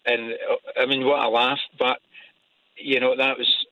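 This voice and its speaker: A man's voice: mid-range voice, little pitch movement, unhurried, no echo. A Scottish man in his forties calling into a radio show, telling stories with enthusiasm. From Scotland. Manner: enthusiastic storyteller. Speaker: caller. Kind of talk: phone-in chat. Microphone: phone. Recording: tinny phone line.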